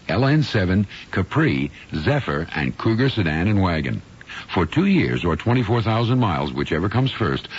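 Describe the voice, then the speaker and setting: A man with a husky voice reading a scripted car advertisement as an announcer, on a muffled old radio broadcast. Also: low voice. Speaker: commercial announcer.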